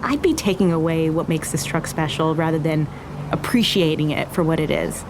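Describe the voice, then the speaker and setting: An actress playing a blunt, teasing young Mexican-American restaurateur in a naturalistic audio drama. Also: female voice, low voice, moderate pace, background noise. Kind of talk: naturalistic fiction podcast acting.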